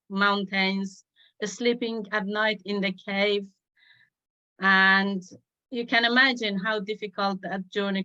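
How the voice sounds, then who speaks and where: Slow-speaking woman. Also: medium pitch, a bit bright, muffled recording. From Afghanistan. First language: Dari. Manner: measured, with frequent uh pauses. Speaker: guest speaker. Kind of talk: personal talk on a video call.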